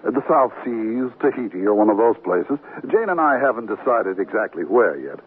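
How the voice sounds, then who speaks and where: An actor playing a courteous, chatty gentleman who stammers politely in a 1970s radio comedy. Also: lowish voice, big pitch swings, normal speed. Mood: affable. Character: polite, chatty gentleman named Tom, fond of 'old boy'. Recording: tinny, noisy 1979 radio broadcast.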